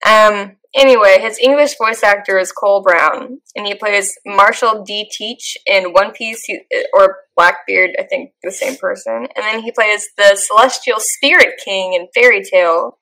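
A young woman co-hosting a podcast, chatting casually and gushing with animated energy. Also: medium pitch, rough recording. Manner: animated, gushing, quick laughs. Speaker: podcast co-host. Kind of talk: casual chat.

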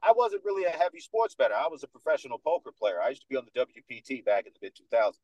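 A man in his thirties with a Dominican American accent, speaking as a podcast guest in a confident, animated way. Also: medium pitch, racing, no room sound, low-quality recording. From United States, New York. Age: 30s. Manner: confident, animated storyteller who acts out other people's lines. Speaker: guest, sports radio host. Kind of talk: casual podcast interview.

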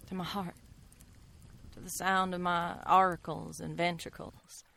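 Mysterious skeptical mystic lady in a radio play, lowish pitch, slow-weighed fancy phrasing, very knowing. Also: fairly bright tone, slight background noise. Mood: calm, hypnotic. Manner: deliberate, eloquent, theatrical phrasing. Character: enigmatic worldly woman mystic, coolly knowing and skeptical. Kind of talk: radio drama acting.

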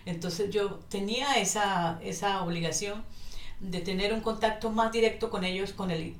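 Woman in her 50s, pauses a lot, says "sí?" a bunch, sounds far off in an echoey room. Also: medium pitch, steady tone. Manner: hesitant, thoughtful, many fillers and tag questions. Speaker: guest, economist and Spanish teacher. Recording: roomy, distant from the mic.

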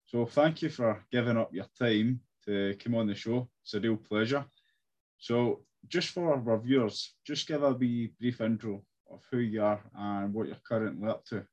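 A man's voice: mid-range voice, moderate pace, a little mellow, muffled recording. A man with a Glaswegian Scottish accent, casually hosting an interview. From Scotland. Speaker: host.

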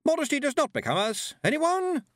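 A man voicing a flustered, forcedly bright woman hosting a village event in an audiobook, trilling and hesitant. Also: very high voice, big pitch swings, moderate pace, no room sound, clean studio sound, pitched above the performer's own voice, exaggerated voice. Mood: strained brightness.

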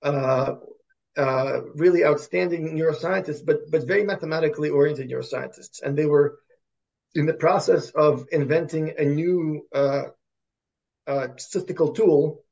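A man's voice: a little high, a little mellow, lo-fi sound. A man from Russia, a native Russian speaker, answering interview questions as an academic guest, often repeating words and restarting. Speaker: podcast guest, computer science professor.

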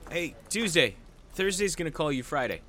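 An actor playing a fussy, flustered office colleague, brisk and exasperated, in a 1940s-style radio comedy. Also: male voice, a little high, very animated, a bit bright.

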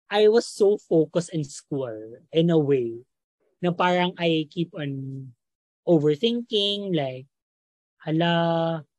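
A young man in his twenties from Bohol with a Filipino accent, a native Cebuano speaker, chatting reflectively as a podcast guest with pauses and restarts. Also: a little high, lively intonation, a little mellow, no room sound. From Bohol, Philippines. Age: 20s. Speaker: podcast guest, medical student. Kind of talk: casual interview chat.

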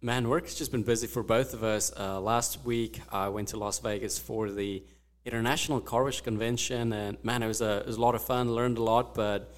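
A man whose first language is Afrikaans, co-hosting a faith podcast in a relaxed, reflective, friendly way. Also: medium pitch, fairly quick. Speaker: podcast co-host. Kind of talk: casual faith podcast chat.

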